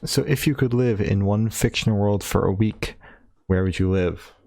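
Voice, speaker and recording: A man's voice: on the low side, normal speed, creaky, darkish tone. A man co-hosting a podcast, asking questions in a laid-back, hesitant way. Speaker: podcast co-host. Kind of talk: casual interview hosting.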